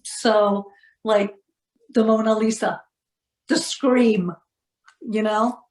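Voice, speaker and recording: A woman's voice: medium pitch, fairly even intonation, very slow, darkish tone. A woman from the northeast of England with a northern English accent, talking reflectively and casually as an artist in an interview, thoughtfully searching for words. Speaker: textile doll artist being interviewed. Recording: roomy, echoing sound.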